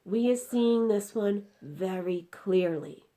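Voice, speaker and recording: A woman's voice: medium pitch, lively intonation, slow pace, warm tone, pitched above the performer's own voice. A woman voicing an indignant, distressed giant from a storybook, exclaiming in mangled grammar and drawing out words emphatically. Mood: emphatic explaining.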